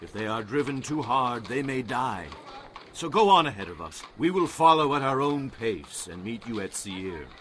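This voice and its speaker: A man's voice: mid-range voice, very animated, moderate pace, a bit bright, a little hiss or hum. A man playing an anxious, humble Hebrew patriarch in a dramatized audio Bible, speaking earnestly and deferentially. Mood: humble, insistent.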